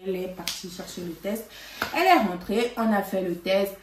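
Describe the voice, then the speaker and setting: A woman vlogger telling a story in casual chat, animated and laughing, with lots of fillers. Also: medium pitch, normal speed, a little hiss or hum. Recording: roomy, from a distance.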